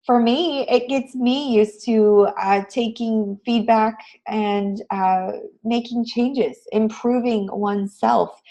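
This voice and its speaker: A woman with a raspy voice, hoarse from a cold, teaching a live online class in an encouraging, conversational way. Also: a little high, steady tone, slow pace, dark tone, lo-fi sound. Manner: encouraging, addresses students with frequent questions. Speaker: online graphic design instructor. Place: online class session, screen shared.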